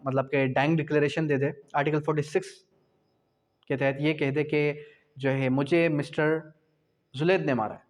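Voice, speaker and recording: A man with a Pakistani accent teaching in an explanatory lecture style, repeating key points and posing questions that he answers himself. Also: a little high. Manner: didactic, repetitive, asks and answers own questions. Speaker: law lecturer. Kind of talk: explanatory exam-prep lecture, mixing Hindi-Urdu and English.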